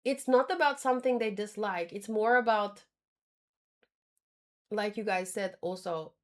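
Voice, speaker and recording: A woman's voice: mid-range voice, a little slow. A woman talking casually and informally as a livestreamer giving commentary, often reworking her sentences as she goes. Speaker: streamer reacting to manga.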